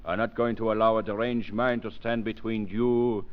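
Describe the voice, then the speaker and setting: An actor playing an imperious, short-tempered villainous spy in a theatrical 1930s radio drama style. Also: male voice, lowish voice, level tone, normal speed, close to an everyday voice. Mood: smug menace. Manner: theatrical, commanding, sneering. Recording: dull, lo-fi 1930s radio recording.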